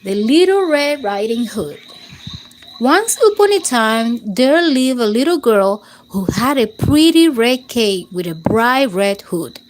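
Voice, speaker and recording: A woman reads a bedtime story aloud for children, slow and unhurried, with a gentle, clearly expressive delivery. Her voice sits a little high, and a faint background hiss runs under the recording. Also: rough recording.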